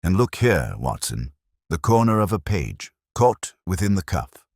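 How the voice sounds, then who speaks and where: A man's voice: deep voice, very animated, a little slow, darkish tone, no echo, clean studio sound, voice pushed up, heavily acted. A man voicing a grave, brilliant detective in an audiobook story, with clipped, solemn, theatrical pronouncements and a scornful edge. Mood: intent, deducing.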